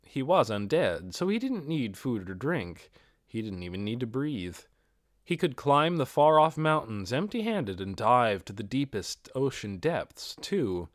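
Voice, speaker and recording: A man's voice: medium pitch, sing-song, measured pace, no echo, studio quality. A man reading a fantasy novel aloud as scripted audiobook narration. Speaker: audiobook reader.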